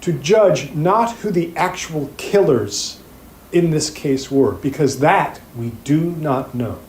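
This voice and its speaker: A man playing an earnest, grave plaintiffs' attorney in an audio drama, speaking formally and with deliberate pauses. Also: on the high side, darkish tone. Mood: earnest, persuasive. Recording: roomy echo, faint background noise.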